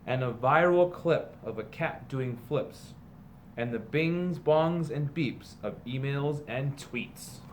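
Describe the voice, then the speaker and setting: A man with a mid-range voice reads a children's book aloud in a teacherly way. His delivery moves between emphatic, sing-song verse and plain conversational asides. Clear background noise sits behind his voice. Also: medium pitch, takes it slowly. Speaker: teacher reading aloud to students.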